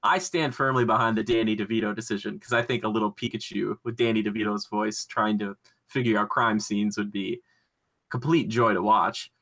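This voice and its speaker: A man hosting a pop-culture podcast in a casual, rambling, animated way with jokey sarcasm. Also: fairly low pitch, fairly quick. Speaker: podcast host.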